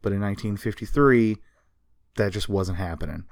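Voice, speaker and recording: A man's voice: on the low side, moderate pace, no echo. Man telling history, close dry sound. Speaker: podcast co-host. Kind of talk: casual storytelling of comics history.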